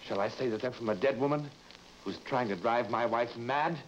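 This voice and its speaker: A brooding, tormented husband in a vintage radio horror play, acted by a man. He speaks in a mid-pitched voice, halting and melodramatic, repeating himself in dread. The recording is dull, with noise behind him. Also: lightly acted. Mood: agitated, desperate. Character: tormented husband haunted by dead woman's letters, brooding and fearful. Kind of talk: old-time radio horror acting. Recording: dull, noisy old radio broadcast recording.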